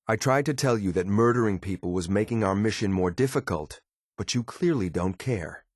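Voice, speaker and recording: An actor playing a stern, loyal synthetic agent in a video game, speaking in a clipped, formal, deadpan military way. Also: male voice, low-pitched, no echo, clean studio sound. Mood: cold, stern. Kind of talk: scripted game character lines.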